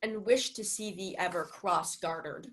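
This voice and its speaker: A woman's voice: on the low side, unhurried, fairly bright tone, poor audio. A woman playing a smug, besotted male steward in a Shakespeare radio play, speaking in a pompous, declamatory manner. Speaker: student actor.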